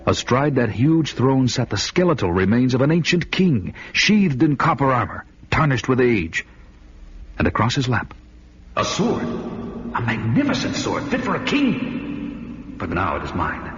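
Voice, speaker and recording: A brooding barbarian warrior. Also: male voice, mid-range voice, very animated, unhurried, a bit bright, slightly rough, dull audio, heavily acted. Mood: commanding. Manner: grave, dramatic first-person narration. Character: brooding barbarian hero, gruff and vengeful. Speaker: narrator and hero. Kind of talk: 1940s-style radio drama acting.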